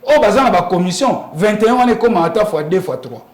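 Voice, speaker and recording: A man's voice: sits high, animated, fast talker, roomy sound. A man with a Congolese accent explaining politics emphatically in an interview, often asking rhetorical questions. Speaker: interview guest commenting on politics. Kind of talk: animated political interview talk.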